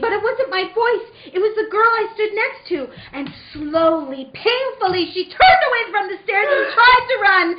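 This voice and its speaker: An actress playing a frightened, sleepless young wife who narrates her nightmares melodramatically in an amateur old-time radio drama. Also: very high-pitched. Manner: melodramatic, swinging from hushed to crying out. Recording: dull, echoey room with faint noise.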